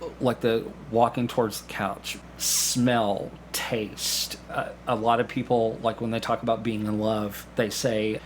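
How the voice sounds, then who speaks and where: Man chatting haltingly over background noise. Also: fairly low pitch, level tone, rough recording. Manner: halting, with repeated words and false starts. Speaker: podcast guest, community theatre actor. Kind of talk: casual conversational explanation with anecdotes. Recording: clear background noise with room ambience.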